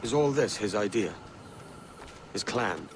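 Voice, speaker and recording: An actor playing a sceptical, self-interested pirate captain in a video game, wry and probing. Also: male voice, fairly low pitch, lively intonation, unhurried, background noise. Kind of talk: video game cutscene acting.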